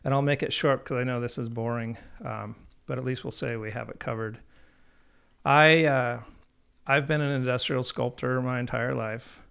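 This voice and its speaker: A man's voice: medium pitch, gravelly, a bit bright, smooth. A man in his thirties, a company founder, telling his story in a casual podcast chat, slightly nasal and rambling with frequent restarts. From Oregon.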